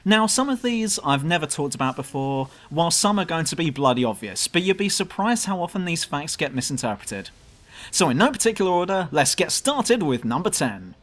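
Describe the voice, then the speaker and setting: A man's voice: a little high, very animated, fairly quick, fairly bright tone. A man with a southern English accent, reading a scripted, self-mocking commentary for his gaming channel. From southern England. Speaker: YouTube gaming channel host. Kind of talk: scripted commentary over gameplay.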